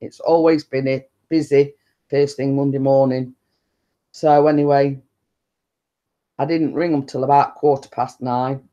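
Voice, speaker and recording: A woman with a deep-toned voice talks slowly and unhurriedly to viewers on a live stream. She meanders through her story, leaning on stock phrases and circling back to the same point. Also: fairly even intonation, mellow, muffled recording. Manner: chatty, meandering, repeats stock phrases and laughs often. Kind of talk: rambling casual chat.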